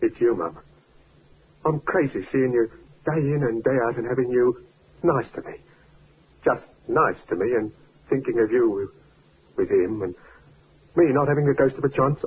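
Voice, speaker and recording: A man's voice: medium pitch, normal speed, pauses often, breathy edge. A man playing a brooding, lovestruck young servant in a 1950s radio drama, terse and deferential, apt to blurt. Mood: anguished, lovestruck. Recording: muffled, tinny 1950s radio recording.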